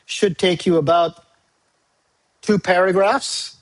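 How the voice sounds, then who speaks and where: A male university English teacher, a native Tagalog speaker, recording a class briefing video; he talks patiently, repeats key dates and fills pauses with "uh". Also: sits high, fairly even intonation, poor audio. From Philippines. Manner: frequent "uh" fillers.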